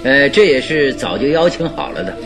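An actor playing a shrewd, self-assured gentleman detective in a radio drama, with a theatrical manner. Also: medium pitch, very animated, normal speed, music in the background. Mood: gleeful. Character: shrewd, jovial gentleman detective, calm and in control. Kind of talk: radio drama acting in Mandarin.

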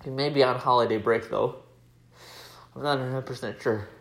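A man's voice: mid-range voice, fairly even intonation, moderate pace, gravelly, fairly bright tone. An American man hosting a casual personal audio diary, talking in a chatty, rambling way. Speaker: podcast host. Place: indoors at home, room with laminate floor.

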